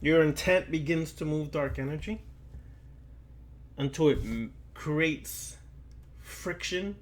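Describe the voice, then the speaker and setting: A man giving an esoteric lecture like a preacher, speaking in deliberate, halting phrases with long pauses and repeating key lines for emphasis. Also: on the high side. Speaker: occult lecturer.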